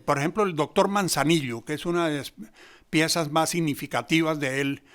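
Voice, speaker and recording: A Colombian man speaks off the cuff as an interviewed commentator. His voice sits a little high for a man, and he explains and analyses reflectively as he goes. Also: a little fast, a bit bright, faint music behind. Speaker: interviewed commentator on theatre and history. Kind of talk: spontaneous analytical talk.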